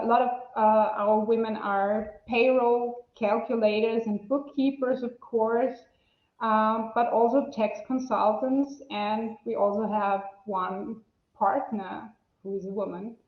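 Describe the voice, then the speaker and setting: A woman speaking slowly on an online conference panel, introducing herself as a tax advisor with halting fillers. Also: fairly high pitch. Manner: slow, halting, laughs lightly. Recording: roomy, dull lo-fi sound.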